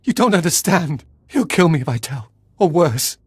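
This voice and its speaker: An actor playing a terrified, cowering Sith acolyte held prisoner in a video game, nervous, stammering and pleading. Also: male voice, fairly high pitch, very animated, normal speed, darkish tone, breathy edge, no echo, clean studio sound. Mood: desperate.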